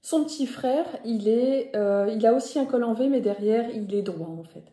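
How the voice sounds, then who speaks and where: A woman's voice: a little high, moderate pace, mellow. A woman from northern France with a Ch'ti accent, hosting a knitting podcast in a casual, hesitant chat full of self-corrections. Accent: Ch'ti (northern French). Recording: echoey room.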